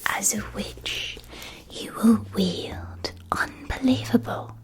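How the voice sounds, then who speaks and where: A gentle witch played by a woman guides a young student through a school shop in an ASMR roleplay. The delivery is a soft whisper at an unhurried pace. The manner is soothing and precise, with a touch of theatrical storytelling. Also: on the high side, exaggerated voice.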